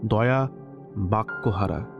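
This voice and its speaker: A man narrating a classic story in a measured, expressive literary style. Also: fairly low pitch, gravelly. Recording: slightly dull lo-fi recording with soft music.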